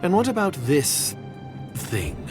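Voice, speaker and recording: Cold sneaky villain for a game, formal, drawn out but with big swings in tone, music under it. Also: male voice, high voice, huge pitch swings, exaggerated voice. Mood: disdainful. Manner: measured, formal, deliberate. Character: suspicious, secretive diplomatic schemer, cold and disdainful man. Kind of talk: video game voice acting.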